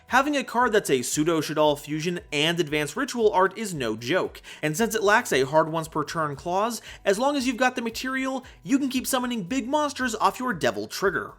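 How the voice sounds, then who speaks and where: A man's voice: high voice, brisk. A man giving a playful, emphatic scripted explainer as a video host. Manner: animated, playful, emphatic delivery. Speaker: host of a card game analysis video. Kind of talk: scripted explainer narration with jokes. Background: background music.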